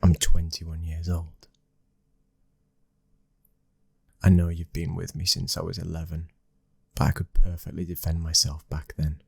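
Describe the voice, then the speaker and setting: Casting: a man performing a cold young British mafia prince for ASMR drama, domineering and terse, flat delivery, close dry sound. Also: sits low, normal speed, creaky, slightly rough, dry recording, heavily acted. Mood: cold, dismissive. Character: cold, commanding twenty-one-year-old mafia boss's son. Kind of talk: ASMR roleplay acting.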